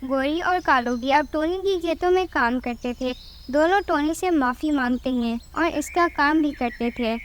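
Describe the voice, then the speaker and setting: A woman's voice: high voice, normal speed, a little hiss or hum. A woman reading scripted narration for a children's cartoon moral story.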